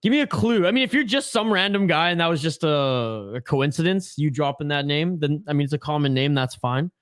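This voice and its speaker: A young Canadian man with a fairly high voice hosts a hockey watch-along stream. He chats loosely, swears, and answers viewer comments aloud. His voice is close and dry, with no room sound. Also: expressive, a little fast, no room sound. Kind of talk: casual live-stream chat.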